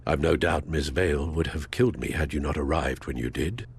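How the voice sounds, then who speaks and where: A man's voice: a bass voice, a little fast, slightly rough, exaggerated voice. An actor playing a loyal, injured elderly English butler in a video game, formal and fatherly, with a British accent. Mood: weary, grateful. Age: older. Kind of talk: dramatic video game acting.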